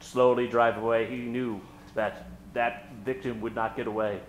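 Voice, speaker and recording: A man's voice: mid-range voice, level tone, moderate pace, slight background noise. A man hosting and narrating an old-time radio comedy in a theatrical style. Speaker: host and narrator. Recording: roomy stage recording with music behind.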